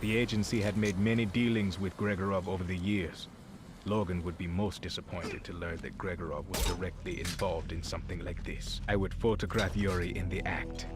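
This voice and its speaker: A man acting as a grave, determined secret agent with a low voice, delivering scripted first-person game narration. He speaks formally and solemnly in measured sentences, with loud music underneath. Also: steady tone, natural voice. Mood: grave, resolute. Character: grave male covert agent recounting a mission, solemn and determined.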